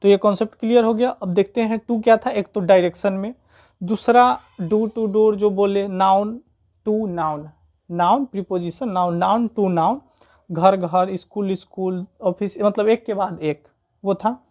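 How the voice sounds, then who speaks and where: Indian female grammar tutor, medium pitch, soft-edged mellow tone, informal, repeats points step by step. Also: steady tone, slow. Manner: repetitive step-by-step explaining, frequent check-in tags. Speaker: English grammar teacher. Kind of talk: informal explanatory grammar lesson.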